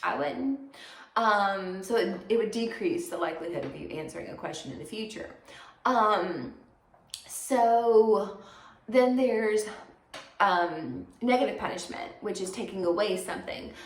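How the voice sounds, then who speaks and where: A woman teaching a psychology lecture in a chatty, everyday way, slightly hoarse and raspy from congestion. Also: mid-range voice, expressive, a little slow. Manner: conversational, many fillers, everyday examples. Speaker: psychology instructor. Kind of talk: recorded lecture. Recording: echoey room, faint noise.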